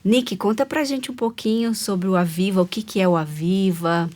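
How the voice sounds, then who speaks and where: A woman's voice: medium pitch, normal speed. A woman hosting a faith-based women's talk show, with a voice in the middle range. She welcomes her guests and her viewers and keeps the talk moving with frequent agreeing murmurs. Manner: warm, affirming, frequent "uhum" backchannels.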